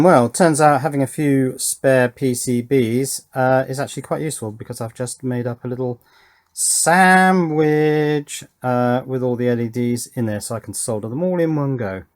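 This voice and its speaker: A man's voice: medium pitch, darkish tone. Man casually thinking aloud at work. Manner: unscripted, thinking aloud as he works. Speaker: host of an electronics build channel. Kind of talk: casual hands-on workbench commentary.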